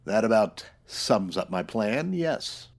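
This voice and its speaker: An actor playing a gruff, sardonic 1940s private detective in a radio comedy parody, terse and full of tough-guy slang. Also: on the low side, sing-song, slow, heavily acted. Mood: dry sarcasm. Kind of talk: old-time radio detective parody with narration.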